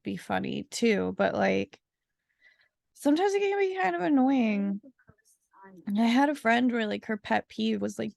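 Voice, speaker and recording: A woman's voice: medium pitch, gravelly, a little mellow, no echo, clean studio sound. A young woman co-hosting a podcast in casual chat, chatty and laughing often, leaning on "like" fillers. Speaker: podcast co-host.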